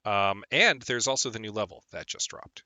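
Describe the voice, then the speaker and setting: A man's voice: lowish voice, animated, normal speed, sharp tone, no room sound. A young man chatting casually while streaming a game, rambling with lots of ums, a bit phlegmy from a lingering cold. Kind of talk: casual gameplay stream chat.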